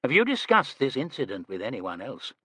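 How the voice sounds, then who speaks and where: A man's voice: mid-range voice, huge pitch swings, a bit bright, husky, no echo, studio quality, heavily acted. A man voicing a courteous, composed police superintendent asking questions. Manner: courteous, measured.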